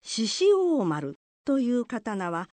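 A woman narrating an old folk legend in a formal, polite and expressive storytelling manner. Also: on the high side, slow pace, no echo.

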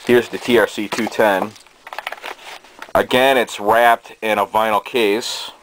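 A man with a fairly low voice talks casually, as if showing items to viewers at home. His delivery is halting and hesitant. It is an amateur indoor camera recording with noticeable background noise. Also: fairly even intonation, a bit bright, rough recording. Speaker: hobbyist presenter. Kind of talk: casual show-and-tell of collected gadgets. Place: small cluttered bedroom indoors. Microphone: handheld camera's built-in microphone.

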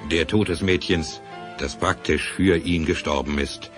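A man's voice: deep voice, a little slow, over music. A man narrating an action audio drama as a grave, dramatic storyteller.

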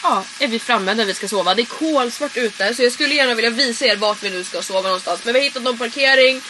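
A woman, chatting casually and informally to camera as a vlogger. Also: on the high side. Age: 20s. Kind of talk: casual chatty road trip vlog. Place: in and around a camper van and car. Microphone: handheld vlog camera. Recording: clear background noise, camera audio.

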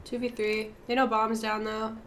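A young woman with a stuffy, congested nose talks through a team shooter match on stream, chatty and swearing casually as she calls out positions. Her voice sits a little high. Faint hiss sits under the headset sound. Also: flat delivery, moderate pace. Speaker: gaming streamer. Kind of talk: casual gameplay commentary with team callouts.

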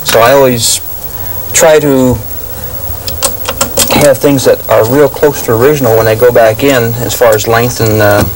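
A middle-aged man casually explaining restoration work as a radio restorer, with halting phrasing. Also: mid-range voice, fairly even intonation, background noise. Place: indoor workshop full of old radios.

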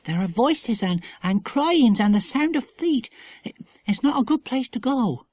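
A timid young village girl as a male narrator acts her. The voice is lifted high and sounds hesitant and fearful, as in a children's audiobook. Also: big pitch swings, a little slow, a little mellow, dry recording, full character voice. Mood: frightened. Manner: hesitant, warning.

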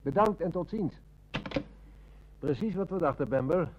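A man playing a shrewd, dry, measured police inspector in a Dutch radio play. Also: on the high side, animated, muffled recording, background noise, lightly acted. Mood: businesslike.

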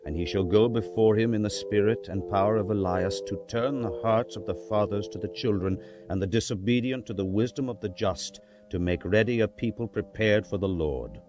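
An actor playing a solemn, majestic male angel in a dramatized scripture reading, speaking in a grave, measured, proclaiming way. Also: lowish voice, lightly acted. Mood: solemn reassurance. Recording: music behind the voice.

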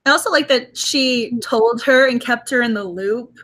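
A woman's voice: on the high side, normal speed, fairly bright tone. A young woman hosting a book club over a video call from home, enthusiastic and opinionated, her voice a little strained from overuse. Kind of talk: casual video call chat. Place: home room with bookshelves. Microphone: webcam on video call.

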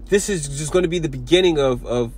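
A man giving an informal sermon video, talking in halting bursts with many repeats and restarts. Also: a little high, a little mellow. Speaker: preacher.

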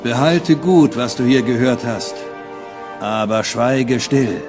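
A man reading secret ritual instructions in a slow, commanding, solemn manner. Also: mid-range voice, natural voice. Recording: loud music behind.

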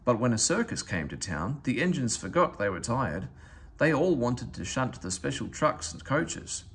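A man reading a children's story aloud as scripted narration, in an expressive storytelling manner. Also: mid-range voice, moderate pace, a little hiss or hum. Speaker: storybook reader.

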